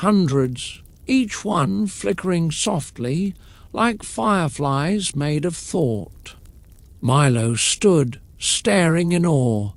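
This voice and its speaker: A man narrating a scripted bedtime story in a calm, soothing, measured manner. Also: a little high, no echo.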